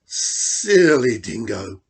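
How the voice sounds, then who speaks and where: A man's voice: mid-range voice, big pitch swings, husky, full character voice. A man voicing a scornful old male lizard in a storybook, hissing and drawing out his words. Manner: hissing, drawn-out delivery. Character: old male blue-tongue lizard, scornful, slow and sly.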